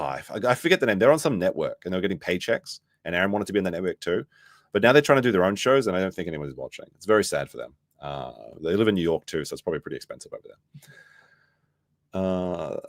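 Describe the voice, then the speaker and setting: A man with a slightly hoarse voice hosting a livestream, reading posts aloud and reacting casually in a rambling, wry way. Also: low voice, no room sound, clean studio sound. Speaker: livestream host.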